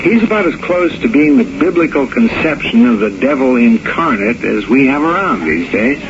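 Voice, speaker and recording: An actor playing a wry, worldly embassy intelligence man in a 1970s radio drama, talking in a dry, measured way. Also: medium pitch, very animated. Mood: dry, knowing. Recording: muffled, noisy 1970s radio recording.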